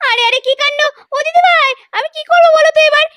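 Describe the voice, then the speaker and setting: A woman's voice: very high-pitched, fast talker, a bit bright, voice pushed up. A woman voicing a cheerful, busy village mother in a doll story, exclaiming and chatting as she fusses and scolds. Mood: flustered. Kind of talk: Bengali children's doll-play story voices. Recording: music bed under the voice.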